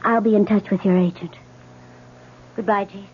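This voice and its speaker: An actress playing a poised widow who speaks gently and hesitantly but stays firm, in a 1970s radio drama. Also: female voice, medium pitch, steady tone, normal speed, a little hiss or hum, close to an everyday voice. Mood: cool. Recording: tinny lo-fi radio broadcast.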